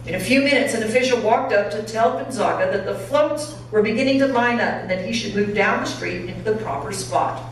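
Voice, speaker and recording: A woman pastor reading a story aloud expressively and deliberately to listeners in a large church. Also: mid-range voice. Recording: very echoey church, distant mic, faint noise.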